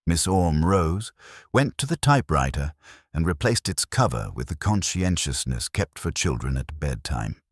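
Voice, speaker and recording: A man with an extremely low, somewhat husky voice narrates a literary mystery. His delivery is measured and wry, with expressive rises and falls in a dry, close sound. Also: dry recording.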